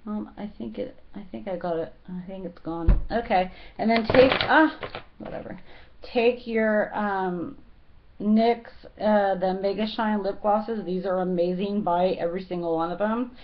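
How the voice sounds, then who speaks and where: Woman beauty vlogger, casual and halting, lots of fillers, unhurried, a little hoarse, muffled lo-fi sound. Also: medium pitch, fairly even intonation, slight background noise. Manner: rambling, filler-heavy, halting. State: slightly hoarse. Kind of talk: casual makeup tutorial. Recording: dull lo-fi home recording.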